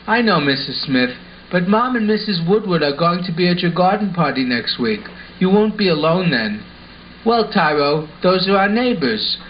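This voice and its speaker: An earnest, well-mannered neighborhood boy voiced by a man speaks in a high voice. He is eager to help and explains things simply, as puppet show dialogue on a muffled home recording with faint hiss. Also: big pitch swings, normal speed. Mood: polite, earnest. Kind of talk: amateur puppet show acting.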